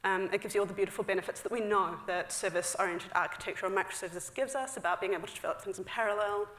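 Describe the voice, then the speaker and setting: A woman's voice: medium pitch, fairly bright tone. A woman in her thirties giving a casual, filler-heavy technical talk on a theater stage. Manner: chatty, frequent fillers, quick asides with laughs. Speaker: conference speaker. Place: large dark theater stage. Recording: echoey hall.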